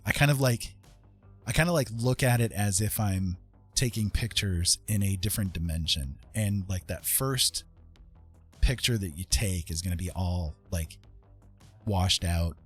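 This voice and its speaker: A man's voice: sits low, slightly rough. A man chatting casually as he streams his painting, rambling and thinking aloud in a self-deprecating way. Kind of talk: casual livestream chat while painting. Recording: music in the background. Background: music.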